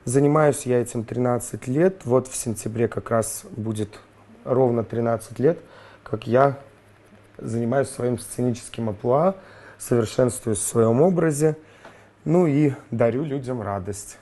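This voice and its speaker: A man in his thirties, a longtime drag artist, introducing himself calmly and measuredly in a documentary interview. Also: medium pitch, unhurried.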